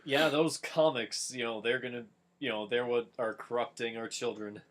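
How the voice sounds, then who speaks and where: A man's voice: mid-range voice, fairly even intonation, moderate pace, a bit bright. A man chatting casually as a co-commentator, making mock-serious, nitpicking jokes. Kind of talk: casual joking chat.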